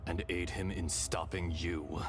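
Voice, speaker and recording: Ancient dragon spirit, very low, slow, solemn, wistful, video game drama, music underneath. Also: male voice, level tone, noisy background. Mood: grave. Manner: solemn, measured, deliberate. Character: calm ancient male dragon spirit possessing a human, wistful.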